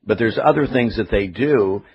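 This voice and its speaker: Middle aged guy doing talk radio, kinda raspy, opinionated and halting, slightly muffled broadcast sound. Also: on the low side. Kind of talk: conversational live talk radio commentary and interviewing. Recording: dull, lo-fi radio broadcast audio.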